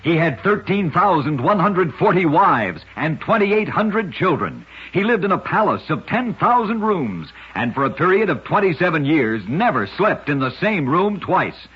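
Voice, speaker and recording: A man hosting an old-time radio show, narrating short scripted oddity tales in a husky, rough-edged voice. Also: on the high side, sing-song, normal speed, hardly any pauses. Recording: dull, lo-fi old radio recording.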